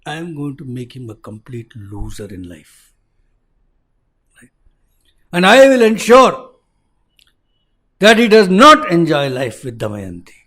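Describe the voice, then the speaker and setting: A man voicing a jealous, wrathful male demon deity who broods and threatens in a low voice. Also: wildly swooping pitch, a little slow, long pauses, fairly bright tone, full character voice. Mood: seething.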